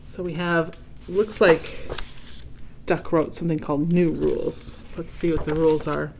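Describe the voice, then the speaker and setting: A woman reading a children's storybook aloud expressively, pausing to ask her young listeners questions and add comments. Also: low-pitched, level tone, vocal fry, darkish tone, a little hiss or hum. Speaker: read-aloud host.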